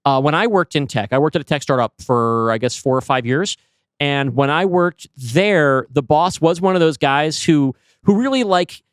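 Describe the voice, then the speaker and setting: A man's voice: on the high side, moderate pace, clear, resonant tone, no room sound. A man co-hosting a podcast, talking casually and conversationally. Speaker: podcast co-host.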